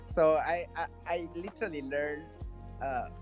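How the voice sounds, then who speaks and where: Animated Italian man telling a story. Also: on the high side, unhurried, soft background music. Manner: animated storyteller, laughs while talking. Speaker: community member. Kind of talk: casual chat.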